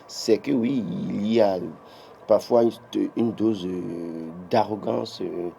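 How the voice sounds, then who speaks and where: Emphatic Beninese commentator with dark voice. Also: male voice, fairly low pitch, steady tone, slow pace, gravelly, a little hiss or hum. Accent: Beninese French. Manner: emphatic, many restarts, rising when insisting. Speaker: political commentator. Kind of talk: morning TV press review.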